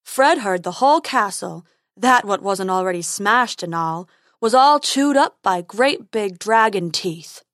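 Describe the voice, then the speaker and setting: Woman playing a dim, earnest storybook creature: slow, loud, drawn out. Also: medium pitch, lively intonation, dry recording, clean studio sound. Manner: slow, loud, earnest, refers to himself by name. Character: simple-minded male creature who talks in third person.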